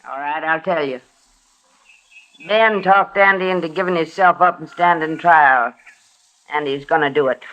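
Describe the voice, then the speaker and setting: A woman's voice: low voice, normal speed, pauses often, fairly bright tone, rough recording. An actress playing a fierce, stubborn middle-aged frontier mother in a radio western, terse and defiant, with a rural American Western accent. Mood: hard, defiant. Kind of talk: 1950s radio western acting. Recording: vintage radio drama recording.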